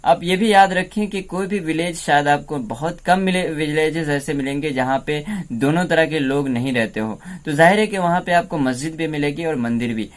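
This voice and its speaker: A man speaks in a fairly high register for a male voice. He presents commentary like a teacher, moving through arguments point by point. English terms are mixed into his explanations. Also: normal speed, few pauses. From India. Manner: didactic, step-by-step explaining with English words mixed in. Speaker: presenter of a political analysis video.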